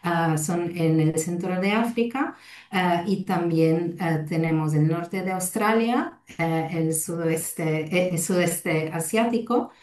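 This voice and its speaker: A woman with an Italian accent gives a lecture over a video call, pausing often on fillers and restarting phrases. Her voice sits low and mellow. The sound is roomy and a little distant from the mic. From Italy. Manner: hesitant, many fillers and restarts. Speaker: lecturer, fire safety researcher. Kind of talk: webinar lecture.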